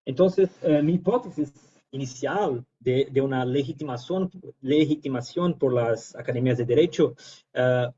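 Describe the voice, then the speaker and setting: Brazilian-accented man, native Portuguese speaker, guest lecturer, halting and full of fillers, mellow tone, slightly muffled audio. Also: mid-range voice, slightly rough. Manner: hesitant, many fillers and restarts. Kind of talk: academic presentation.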